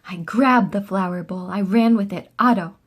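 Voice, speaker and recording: A woman reading a picture book aloud to young children as an expressive storyteller. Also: medium pitch, moderate pace.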